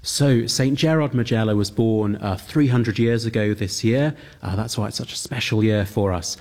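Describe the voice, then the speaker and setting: Man, from Wales, priest, lowish voice, earnest, explanatory, gently humorous. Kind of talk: interview answers.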